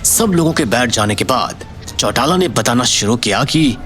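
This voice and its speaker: A man's voice: mid-range voice, animated, normal speed, over music. A man narrating an audio story in a scripted, dramatic style.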